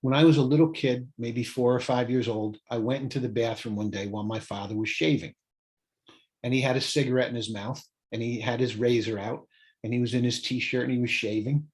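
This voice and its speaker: A man's voice: medium pitch, fairly even intonation, brisk, a little mellow. A man in his late fifties telling personal stories as a guest on a video call, acting out bits of dialogue. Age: late 50s. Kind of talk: anecdotal interview storytelling on a video call. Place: small indoor home office.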